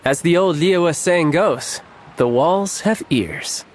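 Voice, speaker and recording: An actor playing a suave, playful young envoy in a game cutscene, smooth, teasing and glib. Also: male voice, fairly high pitch, expressive, slow, noisy background. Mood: coolly confident.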